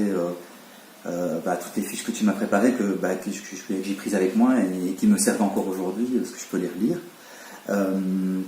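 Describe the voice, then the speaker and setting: Man around forty, born in Belgium, low voice, mellow tone, echoey room, faint noise. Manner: hesitant, many fillers and restarts. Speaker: interviewee. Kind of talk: casual interview chat.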